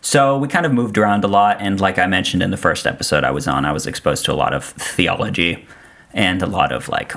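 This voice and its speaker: Male podcast guest, Austrian-born native German speaker, low voice, dry and self-effacing, casual delivery. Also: fairly quick, gravelly, a bit bright. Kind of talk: casual conversation.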